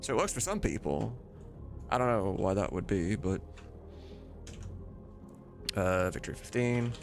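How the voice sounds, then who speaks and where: A man's voice: fairly low pitch, expressive, unhurried, vocal fry, soft background music. A man livestreaming as he works, chatting casually with viewers and muttering to himself. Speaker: livestreamer and game modder. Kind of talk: casual chat while working.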